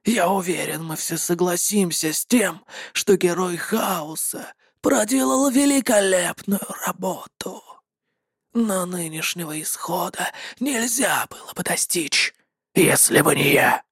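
A woman voicing a sly, scheming male warlock mercenary who talks smoothly and mockingly. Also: on the low side, a little slow, dry recording, clean studio sound. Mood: smug.

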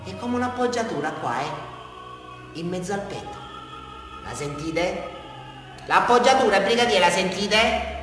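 A man's voice: high-pitched, lively intonation, unhurried, long pauses, a bit bright. A man acting an unhinged small-town murderer with a southern Italian accent, confessing to a police brigadier in a rambling, insistent, taunting way in a theatrical radio drama. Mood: dreamy, insistent. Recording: echoey hall from far off, faint music.

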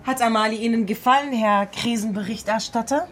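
A woman's voice: on the high side, brisk, close to an everyday voice. An actress playing a worldly, teasing woman who talks in a cool, mocking, informal way in a radio drama. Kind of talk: casual radio drama dialogue.

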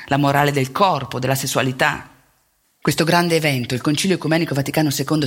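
Woman narrator in measured theatrical monologue. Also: low-pitched, slightly rough. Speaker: stage actor and narrator.

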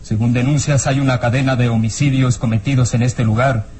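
An actor playing a composed, dutiful police inspector in a vintage radio drama, formal and insistent in manner. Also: male voice, medium pitch, fairly even intonation, a little fast, mellow, a little hiss or hum, lightly acted. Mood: firm, unyielding.

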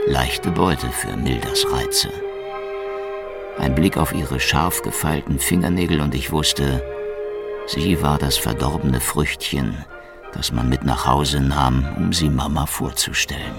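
Gravelly, deep-voiced hard-boiled secret policeman. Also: measured pace, loud music behind the voice, full character voice. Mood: wry confession. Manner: sardonic, dry, insinuating. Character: cynical, lovesick Soviet secret police investigator, sardonic and hard-boiled. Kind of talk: noir radio drama acting with inner monologue.

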